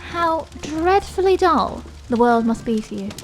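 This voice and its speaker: A woman's voice: sits high, animated, unhurried, faint music behind, rough recording. A woman acting an earnest, whimsical young believer in ghosts in an audio drama, with a theatrical manner. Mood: chiding.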